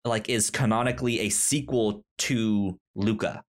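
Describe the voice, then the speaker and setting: A man hosting a casual podcast, rambling with stammered, halting restarts. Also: on the low side, fairly even intonation. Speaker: podcast host.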